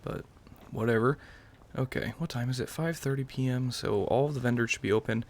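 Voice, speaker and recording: Tired young man with creaky voice. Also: mid-range voice, brisk, a little hiss or hum. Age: young adult. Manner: subdued late-night rambling. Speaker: gaming YouTuber. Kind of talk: casual let's-play commentary.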